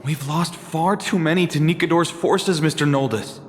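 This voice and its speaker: An actor playing a worried, gentle priest in a game cutscene, pleading softly. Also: male voice, a little high, moderate pace, close to an everyday voice. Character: weary male priest, worried caretaker. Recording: echoey hall, faint ambient noise.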